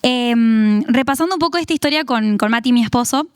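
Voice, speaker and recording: A young Argentine woman with a Tucumán lilt talks about her life in a relaxed, chatty way, laughing easily and using many fillers. Her voice sits a little high and comes across smooth and resonant. The sound is close and dry. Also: fairly quick, dry recording. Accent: Tucumán Argentine Spanish. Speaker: guest giving testimony. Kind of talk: casual testimony interview.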